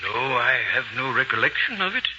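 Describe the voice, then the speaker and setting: An actor playing a kindly, dignified priest, courteous and a little hesitant, in a 1940s radio drama. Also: male voice, mid-range voice, big pitch swings, fairly quick, pushed and bright. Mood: gently firm. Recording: old muffled hissy radio broadcast.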